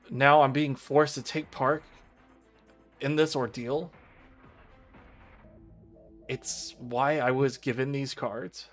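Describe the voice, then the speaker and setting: A man streaming a visual novel, reading the game text aloud in a formal, measured storytelling style. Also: mid-range voice, fairly bright tone. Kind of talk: dramatic read-aloud narration of game text. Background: video game music.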